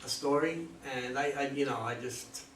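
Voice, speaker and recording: A man's voice: mid-range voice, normal speed, fairly bright tone. A man, a playwright, talking informally and hesitantly in a question-and-answer session, playing himself down. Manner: hesitant, self-deprecating. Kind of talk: informal question-and-answer after a play reading. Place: room at a live play reading. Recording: roomy distant mic, faint noise.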